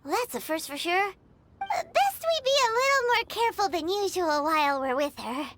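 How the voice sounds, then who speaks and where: A woman voicing a tiny, cute, outspoken fairy companion in a video game, with a squeaky childlike voice. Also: sing-song, normal speed. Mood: surprised, wary. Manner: third-person self-reference, exclamatory. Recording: loud music behind the voice. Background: game music.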